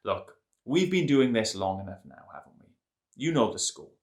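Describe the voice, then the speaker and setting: A man's voice: on the low side, normal speed. Playful man reading to kids. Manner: playful, lively storyteller. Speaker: host and story reader. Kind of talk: children's storytelling.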